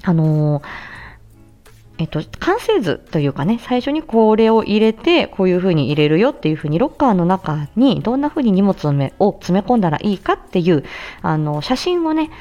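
A woman's voice: medium pitch, sing-song, normal speed, warm tone, faint music behind. A woman hosting a solo talk, explaining things casually and conversationally as a speech therapist, with emphasis and frequent appeals for agreement. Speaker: speech therapist, audio show host. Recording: slightly dull, muffled lo-fi recording.

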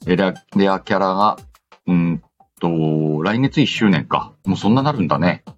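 A man's voice: deep voice, moderate pace, muffled recording. A man hosting a casual radio-style show, reading out letters and announcements with playful asides. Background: background music.

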